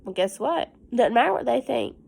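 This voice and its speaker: A woman teacher reading a picture book aloud to young children, with simple, encouraging asides and stressed, drawn-out storytelling phrases. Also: a little high, expressive, gravelly, darkish tone, slightly rough.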